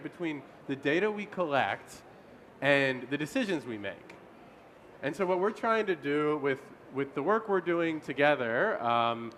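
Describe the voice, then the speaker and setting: Upbeat male workshop moderator; a touch high-pitched, faint room noise behind. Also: fairly bright tone. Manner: upbeat, polls the room with quick asides. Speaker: workshop facilitator. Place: conference room.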